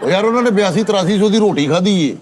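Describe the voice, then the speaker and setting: A quick-talking man with a Pakistani Punjabi accent and a fairly high voice. He tells stories casually among friends, insisting on them with some bravado. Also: animated, over a noisy crowd. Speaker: talk show panellist. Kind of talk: casual storytelling.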